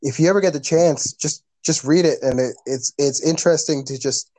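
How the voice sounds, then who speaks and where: A man's voice: fairly high pitch, fairly quick, warm tone, dry recording. A man, talking earnestly as a podcast guest in a rambling, casual way with many fillers. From Canada. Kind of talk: casual conversation.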